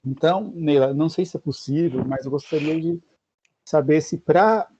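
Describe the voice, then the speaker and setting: A man's voice: fairly high pitch, moderate pace, warm tone, slight background noise. A young Brazilian man, a journalist and graduate student, asking an earnest question over a video call, searching for words with many small restarts. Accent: Brazilian Portuguese. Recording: dry, dull lo-fi video call audio.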